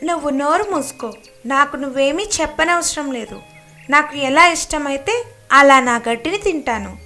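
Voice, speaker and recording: A woman's voice: sits high, lively intonation, moderate pace, pushed and bright, soft background music. A woman voicing a rude, stubborn storybook deer who talks in a curt, defiant manner. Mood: defiant, annoyed.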